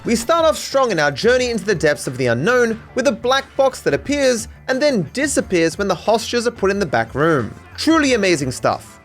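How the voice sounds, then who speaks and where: A man's voice: high voice, very animated, a little fast, few pauses, soft background music. A man with an Australian accent narrating a scripted comedic video essay with dry, mock-serious sarcasm.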